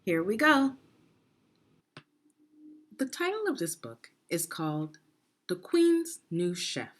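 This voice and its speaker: Woman doing a kids read-aloud, slow and very lively intonation, teachery, expressive. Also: lowish voice. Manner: deliberate, expressive, asks the listener questions. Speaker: education consultant reading a children's book aloud. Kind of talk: read-aloud storytelling for young children.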